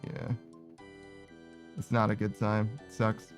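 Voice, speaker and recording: A man's voice: fairly low pitch, completely flat delivery, takes it slowly. A young man streaming a game, rambling casually with many restarts and fillers. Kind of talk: casual chat while gaming. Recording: faint music behind.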